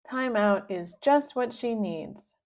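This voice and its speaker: A woman's voice: a little high, expressive, slow. A woman doing an exasperated scolding mom. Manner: stern, scolding. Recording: dull lo-fi recording.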